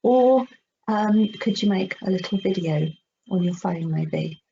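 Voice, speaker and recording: A woman's voice: medium pitch, measured pace, creaky, warm tone. A middle-aged woman giving an informal online workshop, chatty, hesitant and encouraging. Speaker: workshop presenter. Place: online video call. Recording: dull, noisy online call audio.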